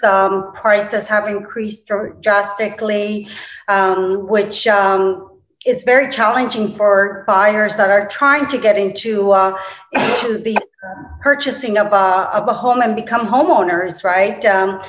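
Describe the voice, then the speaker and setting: A woman's voice: mid-range voice, fairly bright tone, echoey room, poor audio. A middle-aged woman from Colombia, answering interview questions as a guest real estate agent, with many fillers and restarts.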